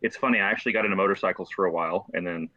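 A quick-talking American man in his forties with a bright, forceful edge to a lowish voice. He chats casually and agreeably as a guest, recorded dry and slightly dull. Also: dry recording. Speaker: podcast guest, entrepreneur. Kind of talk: casual chat.

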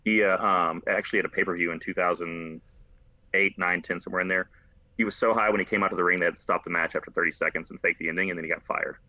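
An American man chatting casually and telling rambling, animated stories as a podcast host. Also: low-pitched, quick pace, dry recording. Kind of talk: casual conversational storytelling. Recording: slightly dull lo-fi, dry close sound.

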